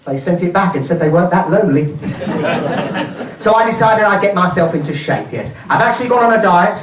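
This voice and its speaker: A man's voice: sits high, expressive, a little hiss or hum. A man playing a cheeky middle-aged widowed nurse as a pantomime dame, doing stand-up comedy in a mock-mournful, saucy manner. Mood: saucy confiding. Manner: mock-mournful, saucy, delivering gags with deliberate pauses. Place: indoor charity show before a live audience. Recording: roomy live venue, distant microphone.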